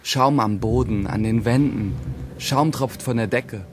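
A man's voice: medium pitch, a little slow, slight background noise, close to an everyday voice. An actor playing a self-righteous, self-important teenage boy loner, narrating and speaking in a crime radio play. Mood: wry. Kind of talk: first-person audio drama narration and dialogue in a crime radio play. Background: music behind the voice.

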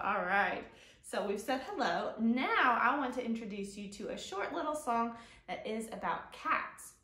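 A woman presents a children's storytime in an expressive, child-directed way. Her voice sits a little high and swings widely in pitch, with key words drawn out. The sound is roomy, picked up by a camera a few feet away in a living room. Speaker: children's librarian, storytime host. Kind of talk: storytime presentation and picture-book read-aloud for young children. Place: living room at home, indoors.

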